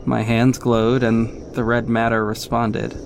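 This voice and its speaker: A man's voice: medium pitch, completely flat delivery, slow, creaky, background noise. An actor playing a young, cocky but caring male vigilante superhero in a scripted audio drama. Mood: earnest narrating. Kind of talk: scripted first-person narration and acted dialogue. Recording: music behind the voice. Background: music.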